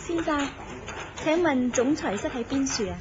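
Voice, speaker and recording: A mysterious young beauty speaks coolly and will not be turned away, as a woman acts her out. Her voice is high. The recording is dull and lo-fi, with faint hiss. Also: animated, moderate pace. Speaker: voice actress in a radio drama.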